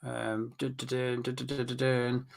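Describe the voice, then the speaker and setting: A man's voice: medium pitch, completely flat delivery, moderate pace, vocal fry, a crowd in the background. A man co-hosting a casual live football chat, reading out viewer comments and teasing his co-host. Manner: reads out comments, teasing banter.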